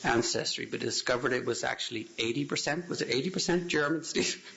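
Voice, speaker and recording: A man's voice: mid-range voice, fairly quick, vocal fry, sharp tone, dull audio. A man with an Irish accent giving a casual, self-deprecating talk to a church congregation, rambling a little with restarts and chuckles. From Ireland. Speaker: guest speaker. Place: church hall.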